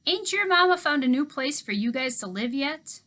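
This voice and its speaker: A woman's voice: high-pitched, animated, moderate pace, sharp tone, voice pushed up. A woman voicing an easygoing old drifter man who talks in a plainspoken, drawling country way, in a storybook read-aloud. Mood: folksy.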